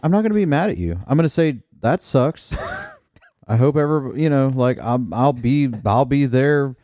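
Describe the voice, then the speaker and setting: Relaxed matter-of-fact man, dry sound. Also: mid-range voice, moderate pace, dry recording. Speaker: podcast co-host. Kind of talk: casual chat.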